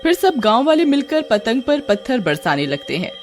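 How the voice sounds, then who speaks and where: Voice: mid-range voice, sing-song, moderate pace. A narrator telling a scripted horror story in the third person. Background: music.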